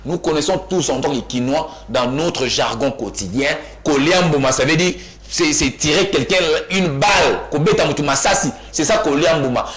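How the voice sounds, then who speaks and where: A man from Kinshasa, a panelist in a heated studio debate who cuts in, repeats himself and rises almost to shouting. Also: on the high side, expressive, moderate pace, hardly any pauses. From Kinshasa, DR Congo. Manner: insistent, interrupts, repeats himself emphatically, rising to near-shouts.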